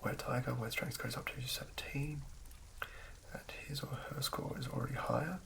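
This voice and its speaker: A man reading in a hushed, breathy ASMR whisper with a halting, measured delivery. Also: medium pitch, fairly even intonation. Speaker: host of an ASMR channel. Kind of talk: ASMR reading of game rulebook.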